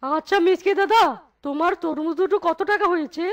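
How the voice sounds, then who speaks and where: Woman voicing a very high, sly young fox husband. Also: a little fast, lo-fi sound. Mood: polite. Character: sly, hungry younger fox son-in-law, comic. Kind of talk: Bengali animated comedy story dialogue.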